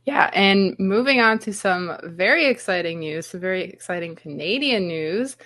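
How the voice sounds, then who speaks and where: A woman's voice: mid-range voice, expressive, moderate pace, fairly bright tone, no echo, clean studio sound. A woman from Romania chatting casually as a sports show co-host, in loose phrasing peppered with "like". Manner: chatty, hesitant phrasing with many "like"s.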